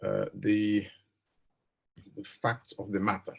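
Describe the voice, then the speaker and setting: A man judge with a gravelly, husky voice, formally and haltingly reading out a court judgment. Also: lowish voice, monotone.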